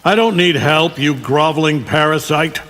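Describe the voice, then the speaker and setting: An actor voicing a brash, contemptuous fighter in a video game, cocky and taunting. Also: male voice, on the high side, moderate pace, a bit bright, faint music behind, natural voice. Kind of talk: video game character dialogue.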